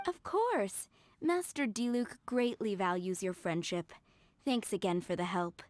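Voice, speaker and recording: A woman's voice: medium pitch, expressive, darkish tone, a little hiss or hum, close to an everyday voice. A woman playing a polite, composed head maid in a video game, speaking formally and courteously. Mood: gracious. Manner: courteous, formal, measured wording. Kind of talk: video game character dialogue. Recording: faint music behind the voice.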